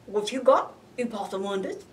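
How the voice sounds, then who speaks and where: A woman's voice: mid-range voice, animated, normal speed, distant mic, slight background noise, natural voice. A woman voicing nosy, skeptical storybook animals with a drawling, folksy manner. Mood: nosy, doubtful.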